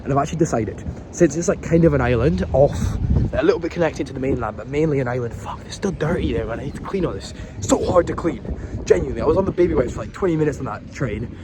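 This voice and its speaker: A man's voice: medium pitch, fast. A young man, chatting casually and rambling as he vlogs his day trip, swearing now and then. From Scotland. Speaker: travel vlogger. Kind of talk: casual vlog narration. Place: outdoors in a windy coastal town and city streets. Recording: noisy outdoor phone vlog.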